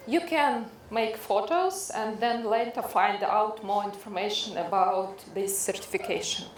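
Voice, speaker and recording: Middle-aged woman, Ukrainian accent, slightly high voice, halting medical lecture delivery, echoey room. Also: a little mellow. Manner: halting, hesitant non-native phrasing with frequent searching for words. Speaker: dermatologist lecturer. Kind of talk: introductory medical lecture to an audience. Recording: echoey room with faint background noise.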